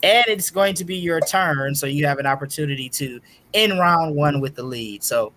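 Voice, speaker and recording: Higher-voiced male game show host, some noise. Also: normal speed. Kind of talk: hosting a casual online trivia game show.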